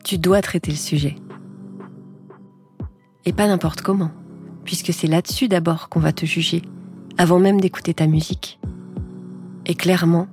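A woman hosting a podcast, reading a scripted narration in a calm, measured way. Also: fairly low pitch, faint music behind.

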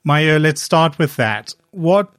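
A man's voice: fairly high pitch, lively intonation, slow pace, fairly bright tone, clean tone, no room sound, clean studio sound. A man hosting a podcast, reading a polished opening and then asking curious, articulate questions. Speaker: podcast host. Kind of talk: scripted intro and interview questions.

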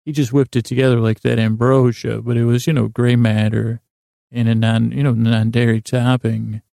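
A man hosting a bedtime podcast in a meandering, halting, gently silly and self-deprecating monologue that drifts into tangents. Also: medium pitch, little pitch movement, gravelly, no echo, clean studio sound. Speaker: podcast host.

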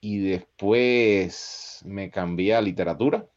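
A man's voice: low voice, takes it slowly, a little mellow. A man from Costa Rica speaking casually as a podcast guest, in a dull, muffled recording. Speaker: podcast guest, content creator. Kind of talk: casual autobiographical conversation.

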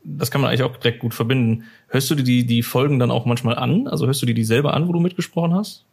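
A man's voice: mid-range voice, racing, creaky, a little mellow, breathy edge. A man interviewing in a casual podcast chat, phrasing his questions in a tentative, wordy way.

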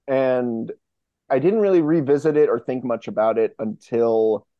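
A man's voice: mid-range voice, no echo, lo-fi sound. A man chatting casually as a podcast guest, with a jokey, self-mocking manner. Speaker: podcast guest, fiction podcast co-creator. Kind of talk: casual interview chat.

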